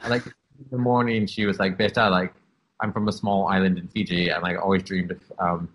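A man's voice: low-pitched, flat delivery, brisk, fairly bright tone, slight background noise, poor audio. A man in his thirties from Canada, speaking as an interview guest and telling his life story in an open, reflective way with many "um"s and "like"s. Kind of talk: candid personal storytelling.

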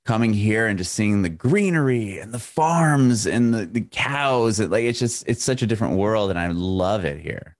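A man's voice: on the low side, lively intonation, normal speed, hardly any pauses, no echo, clean studio sound. A man from San Diego hosting a casual interview over a video call, chatty and friendly, laughing along. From San Diego, California. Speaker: interviewer host.

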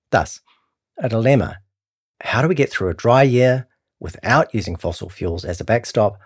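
A man's voice: sits low, breathy edge. A man with a New Zealand accent reading a scripted long-form article as a documentary narrator.

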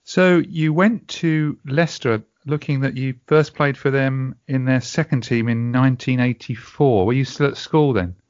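A man at mid pitch hosting a podcast, putting short questions and brief encouraging prompts to his guest. His voice is dry and close, with a dull, lo-fi tone. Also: normal speed, hardly any pauses, darkish tone, no echo. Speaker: podcast host. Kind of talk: interview questions.

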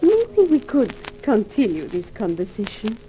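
Mellow, flirtatious nightclub performer. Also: female voice, medium pitch, wildly swooping pitch, unhurried. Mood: admiring, seductive. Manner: coy, solicitous. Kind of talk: 1950s radio drama acting. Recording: noisy old radio drama recording.

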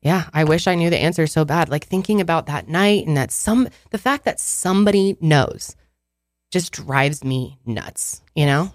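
A woman hosting a podcast, chatting in a relaxed, agreeable way. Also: low-pitched, normal speed, dry recording, studio quality. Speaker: podcast host. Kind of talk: casual chat.

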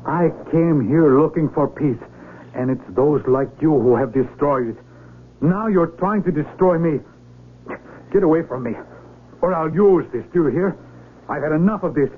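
An actor in a radio drama playing a proud, desperate young mountaineer, an outsider who speaks earnestly and defensively. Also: male voice, a little high, moderate pace, slightly rough. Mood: angry, threatening. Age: young adult. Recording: tinny vintage radio broadcast.

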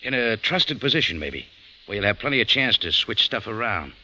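A man's voice: on the low side, big pitch swings, a little fast, pushed and bright, exaggerated voice. An actor playing a tough male café owner and adventurer, wry and hard-boiled, with 1940s radio acting. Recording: dull old radio recording with faint noise.

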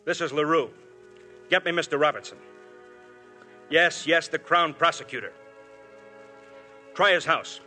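A man's voice: fairly high pitch, unhurried, pauses often, pushed and bright, faint music behind. A man playing a shrewd, persistent police inspector in a 1950s radio drama, polite but pressing. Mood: urgent.

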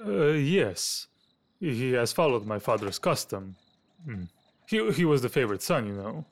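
Man playing a nervous, swooping, stammering gentleman. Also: medium pitch, very animated, moderate pace. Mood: anxious, puzzled. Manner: hesitant, stammering, fussy. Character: nervous, fussy, anxious Victorian gentleman. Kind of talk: audio drama acting.

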